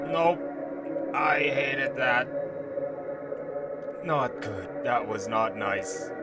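Young guy doing horror reactions, high voice, really animated, casual and exclamatory. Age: young adult. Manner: expressive, exclamatory, swears casually. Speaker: reaction video host. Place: small room at home.

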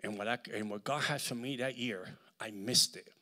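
Middle-aged man, Puerto Rican accent, fervent preaching, bright forceful tone, handheld mic, church hall. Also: mid-range voice, moderate pace. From Puerto Rico. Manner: fervent, swings from shouting to near-whisper. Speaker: guest preacher. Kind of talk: sermon. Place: large church hall with live audience.

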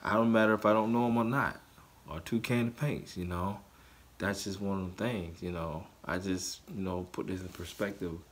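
A man's voice: low voice, fairly even intonation, moderate pace. A man in his forties talking loosely to camera in a casual vlog from his living room, full of fillers. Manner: loose and rambling, many fillers. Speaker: vlogger. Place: living room with couch, indoors.

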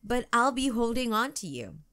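A kind father in a children's storybook, voiced by a woman in her own register. He is supportive and reassuring, with a bright, animated delivery. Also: fairly high pitch, moderate pace, clear, resonant tone.

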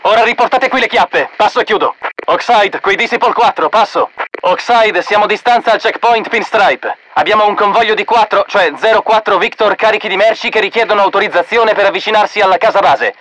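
A man playing a brisk, blunt mercenary field soldier in a video game, speaking military radio chatter through a filter. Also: sits high, fairly bright tone, rough recording. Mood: irritated, brusque. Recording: radio transmission with faint noise, clicks.

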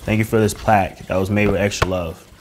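Low-voiced young man vlogging casually. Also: steady tone, quick pace, heavy background noise. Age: young adult. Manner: informal, swears freely. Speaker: prank YouTuber and host. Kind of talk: casual vlog chat to camera. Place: indoors, home dining room.

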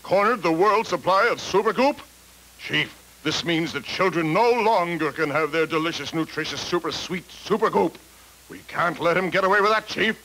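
Eager, high-pitched cartoon cat. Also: male voice, lively intonation, normal speed, pushed and bright, full character voice, low-quality recording. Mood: mock heroic. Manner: theatrical, earnest. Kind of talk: 1970s animated educational film acting.

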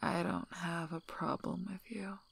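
A guarded female werewolf alpha, played by a woman in an ASMR role-play. She speaks softly in a lowish, husky half-whisper with heavy vocal fry. Her delivery is dry, unhurried and wryly protective. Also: heavily acted.

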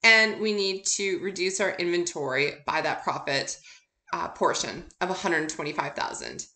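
Female course instructor, bright crisp-edged tone, informal explanatory lecture delivery, noticeably echoey recording. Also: fairly low pitch, expressive, normal speed. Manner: conversational, informal. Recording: roomy, echoey sound.

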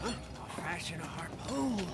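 A shrill, fervent old mechanic. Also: male voice, moderate pace, creaky, very noisy. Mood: eager. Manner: shrill, fervent, fawning, prone to excited outbursts. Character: hunched, zealous old male car-worshipping mechanic. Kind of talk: video game cutscene acting. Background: loud game score music.

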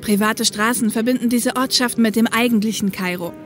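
A woman's voice: fairly high pitch, brisk, faint music behind, natural voice. A woman acting a sober young female co-pilot. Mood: matter-of-fact. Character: female police helicopter co-pilot from Cairo, sober, caring older sister. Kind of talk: German audio drama acting.

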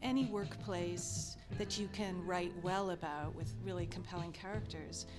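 A woman's voice: lowish voice, moderate pace, music in the background. A woman in her fifties, a television writer-producer, answering interview questions in a thoughtful, chatty way and laughing often, into a handheld mic at an indoor press event.

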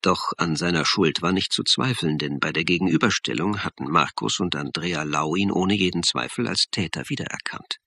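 A man's voice: low-pitched, normal speed, vocal fry, few pauses, sharp tone, husky, no room sound, heavily acted. An actor playing a brooding, suspicious police academy lecturer who tells his story in a German crime radio play, dry and wry. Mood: reflective, dry. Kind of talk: radio play narration and dialogue.

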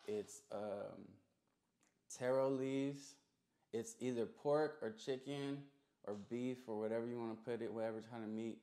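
A man's voice: mid-range voice, a little slow, mellow. A young man chatting casually as an interview guest, in a slangy way with repeated emphatic phrases. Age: young adult. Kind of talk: casual chat. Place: small recording studio. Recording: roomy indoor echo.

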